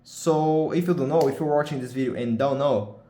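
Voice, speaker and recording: A man's voice: mid-range voice, moderate pace, dark tone, reverberant. A young man from Brazil with a Brazilian accent, chatting casually in English as a second language, often restarting and searching for words. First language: Portuguese. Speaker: vlogger. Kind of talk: casual unscripted chat.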